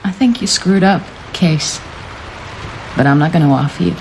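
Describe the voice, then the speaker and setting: An actress playing a cool, dangerous female mercenary, terse, dry and unhurried, in a radio drama. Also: fairly low pitch, vocal fry, darkish tone. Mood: menacing. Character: tough, cool female razor-fingered mercenary, laconic and dangerous. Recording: noisy background ambience, rain-like hiss.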